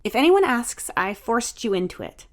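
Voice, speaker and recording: A breezy, bossy young sister played by a woman narrator for an audiobook, lifted high above her normal reading voice. She teases and coaxes in an exclamatory way, but she cares underneath. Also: sing-song, normal speed. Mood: coaxing. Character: teasing, bossy but caring older sister, young beautician. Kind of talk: audiobook character dialogue.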